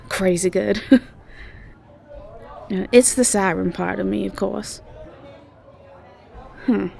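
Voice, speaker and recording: Casting: actress as sweet airheaded college siren, Southern drawl, unhurried and mellow, rambling roleplay audio delivery. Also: female voice, mid-range voice, creaky. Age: young adult. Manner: hesitant, rambling, giggly casual speech. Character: sweet, ditzy young female siren university student.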